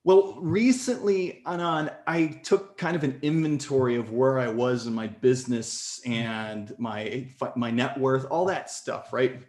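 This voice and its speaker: A man in his forties telling his story as a podcast guest in casual conversation, animated and leaping up in pitch when excited. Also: measured pace, few pauses. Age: 40s. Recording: echoey room.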